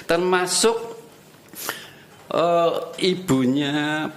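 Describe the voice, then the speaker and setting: A man with a Tegal Javanese accent who tells stories in a relaxed way as a podcast guest, mixing regional dialect into his talk. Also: a little high, very slow, echoey room, slight background noise. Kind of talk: casual conversation.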